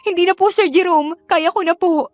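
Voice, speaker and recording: A woman's voice: very high-pitched, steady tone, a little fast, no room sound, faint music behind, pitched above the performer's own voice. A woman voicing a young laundrywoman in an audiobook drama, polite, deferential and earnest. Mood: shaken, anxious. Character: young laundrywoman, gentle, polite, encouraging, flustered when worried.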